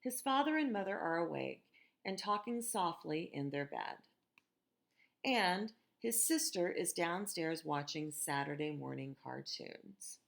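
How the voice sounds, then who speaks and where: An American woman reading a picture book aloud to children in a gentle, expressive teacher's manner, with conversational asides. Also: lowish voice, measured pace, gravelly. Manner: gentle, expressive, asks listeners questions.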